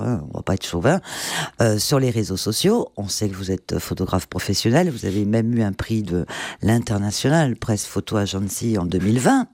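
A man's voice: on the low side, a little mellow, dry recording. A man hosting a radio-style show, interviewing a guest in a conversational way. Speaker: radio or podcast host.

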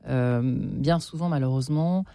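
A woman's voice: rumbling low, a little slow, vocal fry, warm tone, dry recording, clean studio sound. A woman hosting an interview in a conversational way, putting questions and short reactions to her guest. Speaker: interviewer and show host.

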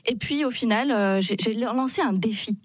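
Higher-voiced woman giving personal testimony. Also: normal speed, dry recording, studio quality. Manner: animated storytelling, acts out quoted dialogue. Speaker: interview guest, author. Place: radio studio.

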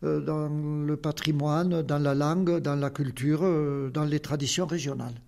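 A man's voice: fairly high pitch, steady tone, measured pace, vocal fry, darkish tone. Older man with a southern Occitan accent. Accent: Occitan southern French. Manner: earnest, explanatory, with many fillers. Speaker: guest. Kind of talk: interview answers.

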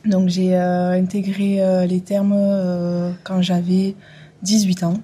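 Husky, flat-voiced young woman. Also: fairly low pitch, takes it slowly. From France, Occitanie. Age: 20s. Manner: hesitant, many fillers, finishes others' sentences. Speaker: hydrotherapist guest. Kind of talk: radio interview.

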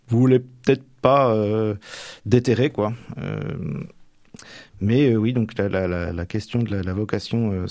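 A middle-aged man from Normandy giving reflective, halting interview answers, often tagging the ends of his phrases. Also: lowish voice, darkish tone, dry recording. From Manche, Normandy, France. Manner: hesitant, halting, frequent tags at phrase ends.

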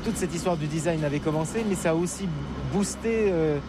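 A man's voice: on the high side, fairly quick. A man with an accent from France, chatting as an on-location reporter and asking questions. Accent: French from France. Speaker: interviewer, travel reporter. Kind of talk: conversational on-location interview. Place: outdoors on a city street. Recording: noisy outdoor street with traffic.

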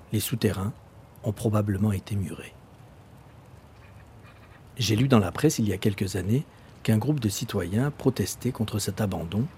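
A man's voice: lowish voice, dark tone, a little hiss or hum. A man from France interviewing people and narrating a documentary with thoughtful, hesitant questions.

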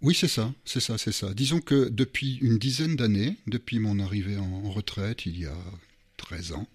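A man in his sixties from the Moselle border region, a Luxembourgish native speaker, talking as a guest author in a radio interview, measured and often chuckling. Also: lowish voice, slightly rough. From Moselle border region, France. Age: 60s.

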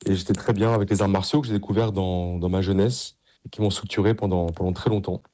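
Middle-aged man, lowish pitch, soft dark timbre, earnest and enthusiastic, conversational priest being interviewed. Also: steady tone, fast. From Paris, France.

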